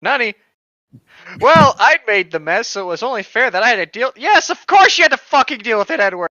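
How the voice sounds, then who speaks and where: A podcast co-host doing irreverent read-along banter with animated mock outbursts, heard through a dull lo-fi microphone. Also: mid-range voice, fairly quick, no echo. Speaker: podcast co-host reading a novel aloud with commentary.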